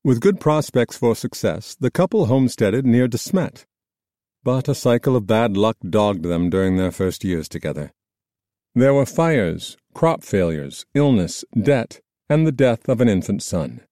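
A man reading scripted nonfiction narration in a measured, formal storytelling manner. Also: lowish voice, sing-song, dark tone, dry recording, studio quality. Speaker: audiobook narrator.

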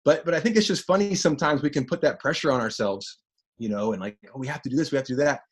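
Deep-voiced husky male preacher. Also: fast. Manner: animated, repeats phrases for emphasis. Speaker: pastor. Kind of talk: sermon.

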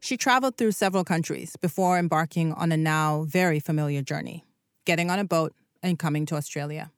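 Low-voiced woman narrating a documentary. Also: clean tone, no echo, clean studio sound. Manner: measured, earnest delivery. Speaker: podcast host and narrator.

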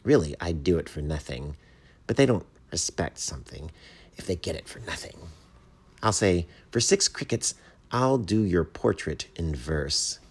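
A man's voice: deep voice, lively intonation, unhurried, slightly rough, exaggerated voice. A man voicing a shy, earnest little bat who writes poems, tentative and naive, in a storybook reading. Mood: eager. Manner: tentative, naive, easily bewildered.

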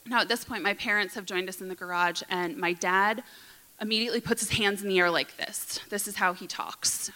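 A woman in her thirties telling a personal story to an audience in an earnest, vivid present-tense way, often dropping to a hush. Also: mid-range voice, fairly even intonation, brisk, rough recording.

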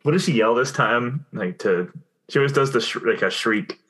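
A man's voice: mid-range voice, expressive, moderate pace, a bit bright. A man co-hosting a casual movie podcast, rambling with restarts and frequent laughs. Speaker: podcast co-host.

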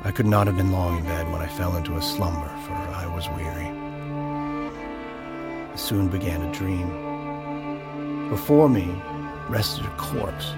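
An actor playing a solemn, weary president in an audio drama monologue, speaking in a measured way. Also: male voice, deep voice, creaky. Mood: solemn, haunted. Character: solemn, weary American president recounting a dream. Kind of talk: dramatic first-person monologue. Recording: loud music under the voice. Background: music.

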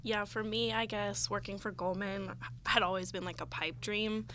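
A woman's voice: mid-range voice, fairly even intonation, moderate pace, bright, forceful tone. A young adult woman speaking as a student guest, giving casual interview answers. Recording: clearly audible background noise.

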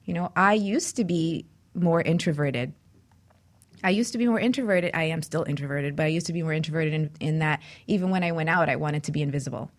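Woman from New York City for talk radio, lowish voice, earnest and emphatic. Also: brisk. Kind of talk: casual radio discussion.

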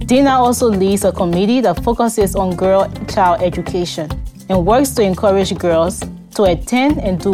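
A woman hosting a podcast interview, asking polite, gently formal questions. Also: mid-range voice. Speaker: podcast host.

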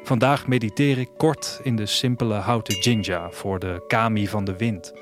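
A man's voice: fairly low pitch, fairly even intonation, normal speed, music in the background, lightly acted. A man acting as a wistful, restless traveller, narrating an audio drama in a reflective, literary first-person style. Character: wistful, restless traveller leaving his future city. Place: studio, music behind.

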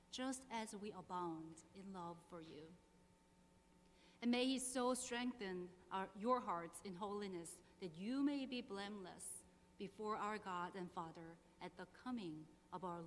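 A woman's voice: medium pitch, fairly bright tone. Korean-accented woman pastor in echoing hall. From South Korea. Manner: measured, earnest, slow deliberate phrasing. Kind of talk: sermon with prayer and scripture reading. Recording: long hall reverb, faint hum.

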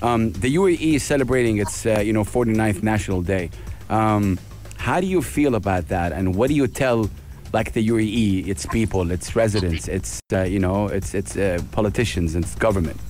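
A man co-hosting a show, praising the guest and asking halting questions. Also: lowish voice, a little mellow, faint music behind. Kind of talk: casual interview chat.

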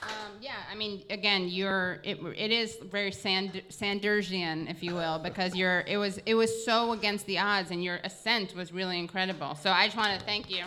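A woman's voice: medium pitch, fairly even intonation, normal speed, hardly any pauses, pushed and bright. An American woman hosting a live interview in an informal, digressive way, often saying "like". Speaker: podcast host.

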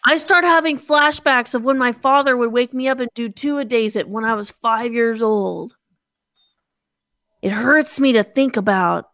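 A woman's voice: fairly high pitch, a little slow, fairly bright tone, no room sound. A woman playing a tabletop game, joking theatrically and swearing in character. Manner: theatrical, swearing, exaggerated complaints. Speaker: player.

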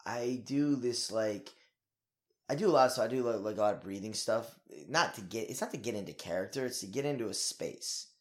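A young man, an actor, talking in a casual interview in an energetic, rambling way with lots of fillers and swearing. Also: on the low side, a little fast.

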